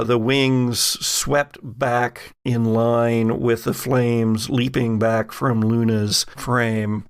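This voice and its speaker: A man running a tabletop role-playing game as host, narrating deliberately and dramatically in short phrases. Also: mid-range voice, no room sound. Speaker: game master and podcast host.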